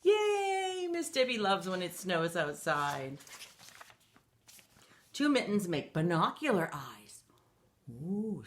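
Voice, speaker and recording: Woman, middle-aged, children's storytime reader; slightly high, unhurried, playful sing-song. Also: fairly bright tone. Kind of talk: reading a picture book aloud to children.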